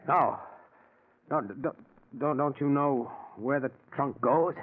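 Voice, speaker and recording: A nervous husband in a 1940s radio mystery, played by a male actor, smoothly placating yet prone to stammer, with guilt under the concern. The recording is tinny and narrow, with clear background noise. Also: medium pitch, unhurried, close to an everyday voice. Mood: flustered. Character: nervous, scheming husband hiding guilt behind soothing concern. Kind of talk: 1940s radio drama acting.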